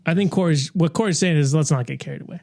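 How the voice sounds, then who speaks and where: A man's voice: on the high side, quick pace, gravelly, darkish tone. A man co-hosting a podcast, cutting in with wry, joking remarks in casual banter. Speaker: podcast co-host.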